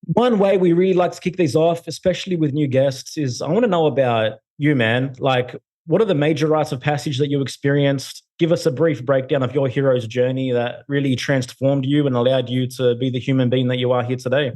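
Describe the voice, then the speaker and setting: A mid-pitched man hosting a podcast, relaxed and easygoing, who drops casual slang as he talks. His voice is plain and even in pitch. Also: brisk, few pauses. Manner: laid-back, friendly slang. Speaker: podcast host. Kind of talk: casual interview chat.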